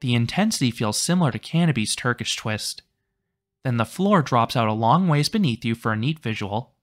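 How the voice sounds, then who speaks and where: A man reads a scripted amusement park review in an even, informative voice of middling pitch. He stays matter-of-fact throughout. Faint music plays softly beneath the voice-over. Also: normal speed.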